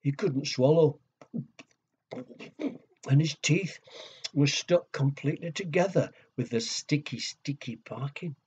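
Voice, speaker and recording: A man telling a story to young children, with a husky voice, hushed dramatic pauses and simple questions to his listeners. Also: fairly high pitch, lively intonation. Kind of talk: children's storytelling and nursery rhymes. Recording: dull lo-fi recording.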